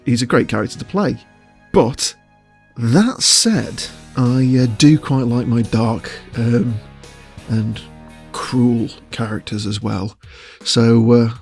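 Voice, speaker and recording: A man's voice: mid-range voice, gravelly. A man giving casual interview answers as a voice actor, choosing his words with hesitation and often chuckling.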